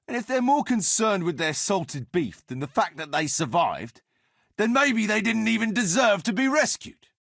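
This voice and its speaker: A man playing a rough, foul-mouthed medieval soldier in a video game, speaking bluntly and earthily as the leader of a hard-drinking band. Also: high voice, big pitch swings, moderate pace, fairly bright tone, no echo, clean studio sound, exaggerated voice. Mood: indignant, defensive. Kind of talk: video game dialogue acting.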